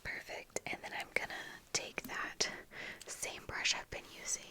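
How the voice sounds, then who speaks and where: A young woman speaking in a breathy whisper, chatting casually in ASMR style while doing her makeup, close to the microphone. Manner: slow, soft-spoken, pausing while applying makeup. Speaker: ASMR video creator. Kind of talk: whispered ASMR get-ready-with-me chat. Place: small indoor room with bookshelves. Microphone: close fluffy-covered desk microphone.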